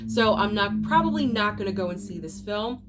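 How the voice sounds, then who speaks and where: A woman's voice: mid-range voice, expressive, normal speed, music in the background. Sick woman host, nasal, casual and opinionated, talking straight to viewers. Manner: chatty, opinionated, direct to viewers. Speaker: movie channel host. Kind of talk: casual movie rundown vlog.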